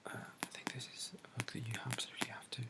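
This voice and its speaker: A soft-spoken British man in a breathy ASMR bookshop roleplay, describing books in a hesitant, rambling way and repeating his phrases. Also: fast. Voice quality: breathy, soft, often dropping to a whisper. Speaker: ASMR creator playing a bookshop assistant.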